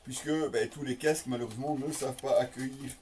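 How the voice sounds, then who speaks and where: Picked up a little way from the microphone, a man presents gear in an unscripted way. His voice is mellow and medium in pitch. He builds his sentences bit by bit, often stretching out a hesitation before the next phrase. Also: steady tone, a little hiss or hum. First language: French. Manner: hesitant, piecemeal phrasing with frequent drawn-out euhs. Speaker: motorcycle gear reviewer. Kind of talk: unboxing presentation, casual unscripted commentary. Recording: slightly distant microphone.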